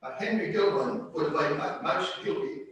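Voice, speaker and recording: A man in the audience asks a plain, direct question. His voice sits a little high and comes from well back in a heavily echoing hall. A faint hum runs behind him. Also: moderate pace, a bit bright. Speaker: audience member asking a question. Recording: distant voice in an echoey hall.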